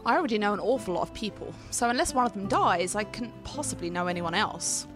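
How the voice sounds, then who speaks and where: A woman's voice: medium pitch, expressive, fast talker, natural voice. Woman acting as young female student, witty, self-possessed, mid-range voice, radio play, music behind. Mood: teasing, wry. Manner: wry, teasing.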